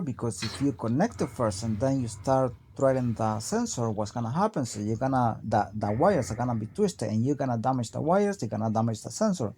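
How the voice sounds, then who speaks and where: A man's voice: mid-range voice, a little mellow, a little hiss or hum. A man giving a hands-on auto repair tutorial, explaining each step in a plain, practical way. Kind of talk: hands-on how-to narration.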